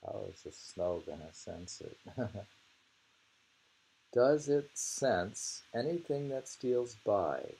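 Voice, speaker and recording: A man reading poems aloud and commenting informally, musing and repeating lines deliberately. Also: mid-range voice, sing-song, creaky, dark tone, breathy edge, rough recording. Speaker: reader of haiku poetry. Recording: noticeable hiss and background noise.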